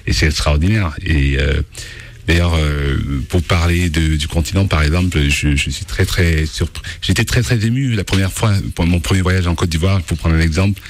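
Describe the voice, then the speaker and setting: A man, a musician guest on a radio show, speaking thoughtfully and haltingly with many pauses. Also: sits low, a little hiss or hum. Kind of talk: casual radio interview talk.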